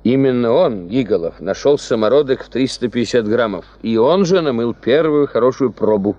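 An actor playing a businesslike geologist in a 1970s Soviet radio drama. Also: male voice, mid-range voice, animated, normal speed, warm tone, lightly acted. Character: businesslike male geologist Mongolov, reporting good news. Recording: dull 1970s radio play recording.

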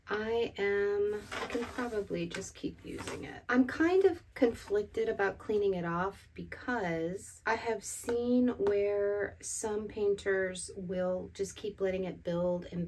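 Woman in her 40s, slow unhurried delivery, groggy morning voice, rambling casual vlog talk from a home studio. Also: medium pitch, a little hiss or hum. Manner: relaxed, rambling self-talk. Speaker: artist vlogger. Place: small home art studio, indoors.

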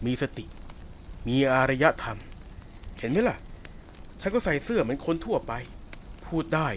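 A man voicing a sadistic, possessive werewolf who taunts a captive listener in a roleplay audio drama. He speaks softly and teasingly, with a menacing edge. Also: a little high, sing-song, normal speed, slight background noise. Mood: taunting.

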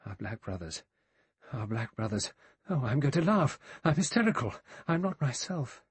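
A man's voice: mid-range voice, big pitch swings, moderate pace, a little mellow, husky, no room sound, voice pushed up, heavily acted. A man voicing a nervous young woman who is fighting for composure in an audiobook, hesitant and anxious. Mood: near hysterical.

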